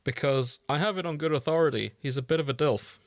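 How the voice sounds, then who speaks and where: A man's voice: on the high side, fast talker, no echo. Male podcast co-host, droll and understated, casual conversation, close dry recording. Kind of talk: casual chat.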